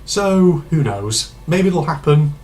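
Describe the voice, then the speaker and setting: A man hosting a casual reaction video, rambling and chatty with lots of fillers, his voice slightly hoarse and congested from a cold. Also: fairly high pitch, very animated, takes it slowly, darkish tone.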